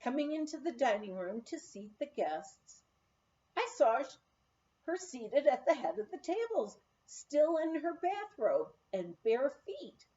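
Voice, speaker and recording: High-voiced woman reading a memoir aloud. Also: big pitch swings, a little slow, dark tone, muffled recording. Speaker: audiobook reader. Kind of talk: scripted narration of a humorous memoir.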